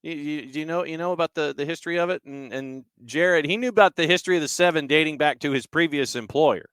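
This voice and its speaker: A man hosting a sports talk show, chatting casually about soccer in a halting, conversational way as he answers listener comments. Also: on the high side, a bit bright, clear, resonant tone, dry recording. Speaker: sports talk radio host.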